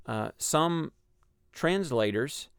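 A man with a Texas accent explaining a Bible passage in a measured, teaching way on a radio discussion. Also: a little high, expressive, vocal fry, clean tone, no echo, studio quality. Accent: Texan. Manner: measured, explanatory, with frequent ums and uhs.

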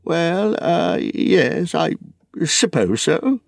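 A man's voice: fairly high pitch, big pitch swings, a little slow, vocal fry, no echo, clean studio sound, voice pushed up, heavily acted. A man voicing an old hobbit in a storybook reading, fretful, wistful and stubbornly possessive, with an emphatic, rambling, hesitant manner. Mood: flustered, defensive.

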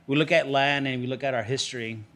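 A man from Los Angeles with a medium-pitched voice speaks on a panel. He talks without a script, earnest and emphatic in his phrasing. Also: steady tone, fast talker, a bit bright. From Los Angeles, United States. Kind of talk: unscripted panel talk.

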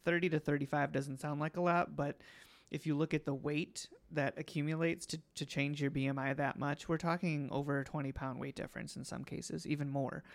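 A man hosting a podcast, slightly hoarse today, explaining things casually with many ums. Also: fairly high pitch, flat delivery, a little fast. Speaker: podcast host.

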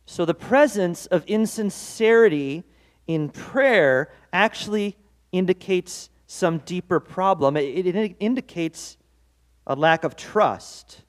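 A man's voice: high voice, lively intonation, slow pace. A man preaching a devotional sermon as pastor, earnest and conversational, with self-deprecating asides. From Norwegian-American heritage.

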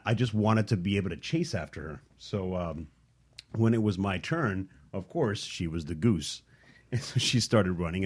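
A man's voice: sits low, darkish tone, slightly rough. A man co-hosting a radio talk show, telling stories in a laid-back way with frequent chuckles. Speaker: co-host.

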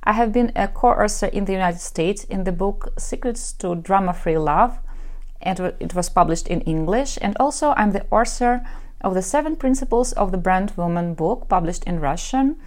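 A woman in her thirties from Ukraine hosts her own podcast as a matchmaker. She talks with confidence and a dry, frank edge, in a voice of middling pitch. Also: expressive, moderate pace, a little mellow. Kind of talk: solo podcast monologue.

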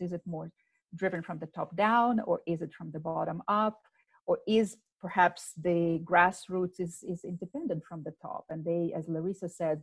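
A woman's voice: on the low side, vocal fry, slightly rough, no echo, poor audio. A woman moderating an online panel, posing questions and passing the word politely to each guest. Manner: polite, brisk.

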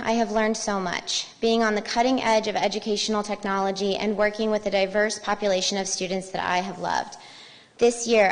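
A young American woman, a school teacher, giving a prepared statement at a public meeting. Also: medium pitch. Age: young adult. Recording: reverberant school board meeting room.

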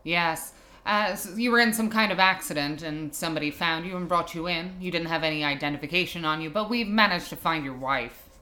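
Woman acting brusque doctor, low bright voice. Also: a little fast. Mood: matter-of-fact. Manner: matter-of-fact, curt, bossy. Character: brusque, impatient female hospital doctor. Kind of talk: improvised film noir audio play.

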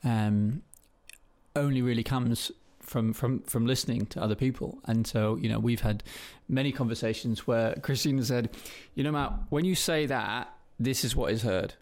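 Man, church panel, gravelly voice with fry, hesitant restarts. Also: medium pitch, moderate pace, darkish tone. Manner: hesitant, repeats words as he restarts.

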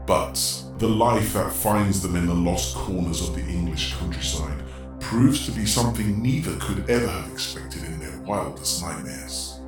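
Man voicing a very deep, echoing sinister horror host. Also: moderate pace, close to an everyday voice. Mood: ominous, theatrical. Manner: ominous, florid, dramatic delivery. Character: sinister, theatrical horror host, an otherworldly male observer lurking in shadows. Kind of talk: gothic horror audio drama narration. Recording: cavernous echo, distant, loud music underneath. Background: loud music.

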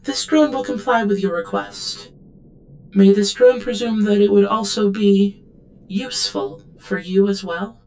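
A woman playing an obedient, guarded android servant in an audio drama, speaking in a formal, measured and deferential way. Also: medium pitch, steady tone, darkish tone, a little hiss or hum, lightly acted, poor audio. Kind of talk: scripted audio drama acting.

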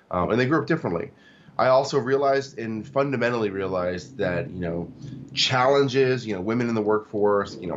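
Male guest, forties, lowish voice, stop-start delivery, dull lo-fi recording. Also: a little hiss or hum. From Tacoma, Washington, US. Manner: halting, many fillers and restarts. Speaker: guest, cybersecurity executive. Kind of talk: interview conversation.

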